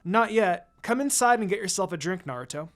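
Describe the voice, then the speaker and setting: A narrator voicing a kindly, expressive young ninja girl. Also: medium pitch, fairly quick, close to an everyday voice.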